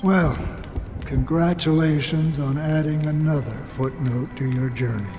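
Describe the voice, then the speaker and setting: An actor playing a calm, curious male wanderer in a game, talking in a measured, lightly dry, thoughtful way. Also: a little high. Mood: curious, teasing. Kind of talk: dramatic game dialogue. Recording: long hall reverb, faint music.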